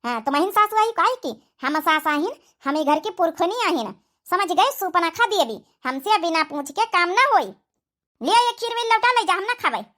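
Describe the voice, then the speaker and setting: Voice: very high-pitched, big pitch swings, moderate pace, bright, forceful tone, full character voice. A performer voicing a bossy, scolding older village mother-in-law in an Awadhi comedy cartoon, domineering and sarcastic. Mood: stern, scolding. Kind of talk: rustic comedy cartoon dialogue.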